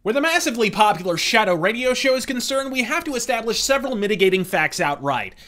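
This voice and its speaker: A man's voice: extremely high pitch, sing-song, fast, loud music behind the voice. A man hosting a scripted video review in a verbose, theatrical and sometimes profane manner. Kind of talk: scripted, florid review narration.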